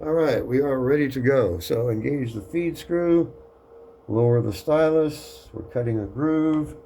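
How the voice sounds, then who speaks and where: A man giving a casual, matter-of-fact hands-on demonstration, narrating each step aloud in an unhurried way. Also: mid-range voice. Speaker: record cutting demonstrator. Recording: clear background noise.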